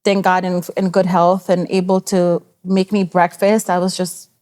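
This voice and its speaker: A woman's voice: on the low side, flat delivery, moderate pace. A woman from El Salvador, a podcast guest in casual chat, telling stories in slangy English with Spanish words mixed in. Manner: warm storytelling, slangy, mixes in Spanish words.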